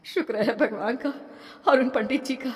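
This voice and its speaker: A woman's voice: on the high side, moderate pace, a little mellow, voice pushed up, close to an everyday voice. A woman voicing an emotional, earnest aunt, brave but frightened, in a horror cartoon. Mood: relieved, consoling. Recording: long reverb, background noise.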